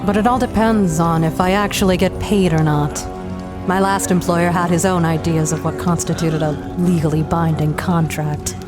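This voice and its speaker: A woman's voice: on the low side, fairly even intonation, normal speed, close to an everyday voice. A woman playing a tough-talking, wry 1930s mercenary adventuress in an audio drama. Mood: wry, guarded. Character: hard-bitten, sardonic 1930s adventuress mercenary for hire. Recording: music behind the voice.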